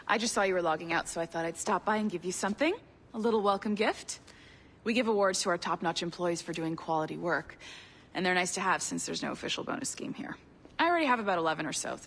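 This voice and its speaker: An actress playing a perky, friendly office manager in a video game, chirpy and helpful with a newcomer. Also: female voice, lowish voice, expressive, fast, a bit bright, a little hiss or hum. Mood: friendly, upbeat.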